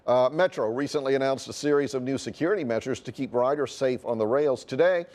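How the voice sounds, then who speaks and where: A man reads television news in an American accent. His pitch is in the middle range, and the delivery is that of a scripted anchor. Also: fast, a little mellow.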